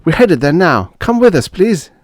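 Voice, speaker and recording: A man's voice: sits high, very animated, moderate pace, dry recording, clean studio sound, pitched above the performer's own voice. A man voicing an urgent, frantic young military officer in an audiobook story. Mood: urgent, pleading.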